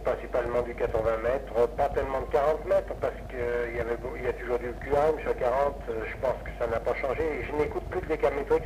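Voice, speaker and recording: A man, chatting casually and hesitantly as an amateur radio operator over a radio transmission, rambling along. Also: medium pitch. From France. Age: 50s. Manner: rambling, hesitant, chuckling asides. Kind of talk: casual ham radio contact. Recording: noisy, muffled 1960s amateur radio recording.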